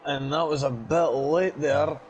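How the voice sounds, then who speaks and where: A man's voice: on the high side, unhurried. Chatty man co-commentating a race. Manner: chatty, casual, excitable exclamations. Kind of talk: sim racing color commentary.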